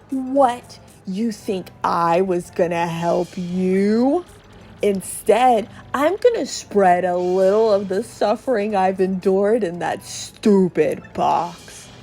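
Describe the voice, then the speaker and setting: A woman voicing a gleeful, evil cursed creature from a video game, taunting and gloating with drawn-out cackles. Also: mid-range voice, vocal fry, warm tone. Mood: gloating, mocking. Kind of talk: dramatic video game dialogue reading.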